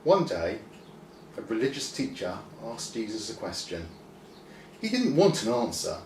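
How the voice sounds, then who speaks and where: A man reading a scripted children's story expressively, with deliberate pauses. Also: lowish voice, big pitch swings. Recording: roomy, distant mic, faint noise.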